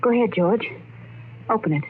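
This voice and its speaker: A woman's voice: medium pitch, expressive, moderate pace, narrow, tinny audio, very noisy, lightly acted. An actress playing an anxious, polite but insistent suburban wife and mother in a 1950s radio drama. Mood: worried, insistent. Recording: vintage radio recording, band-limited.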